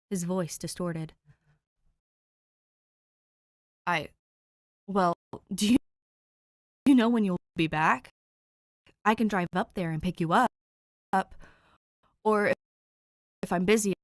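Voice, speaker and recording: A woman's voice: fairly low pitch, measured pace, long pauses, no room sound, studio quality, lightly acted. Woman voicing a stammering, flustered young man. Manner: stammering, rambling, pleading.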